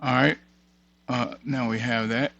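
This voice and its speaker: A man's voice: medium pitch, fairly even intonation, a little slow, vocal fry, fairly bright tone, no echo, dull audio. A man hosting an unscripted tutorial, muttering to himself as he reads items off one by one and thinks aloud. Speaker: tutorial host. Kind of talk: unscripted screen-share walkthrough, thinking aloud.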